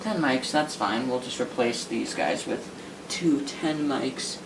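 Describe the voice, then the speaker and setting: A man's voice: mid-range voice, animated, takes it slowly, gravelly. A man talking casually while he restores an old radio, thinking aloud and making chatty asides as he works. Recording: noisy workshop recording.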